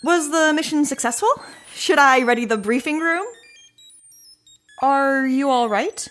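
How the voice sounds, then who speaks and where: Nervous polite secretary, high sweet voice, comedy audio drama, faint music. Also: female voice, unhurried, a bit bright. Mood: anxious, polite. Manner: sweet, deferential, hesitant. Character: polite, eager, anxious female agency secretary. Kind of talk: comic audio drama acting.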